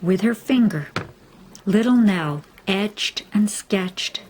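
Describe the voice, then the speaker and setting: A woman reading a children's story aloud, expressively and deliberately, with dramatic emphasis. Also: medium pitch, lively intonation, darkish tone, rough recording. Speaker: storybook reader. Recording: faint background noise.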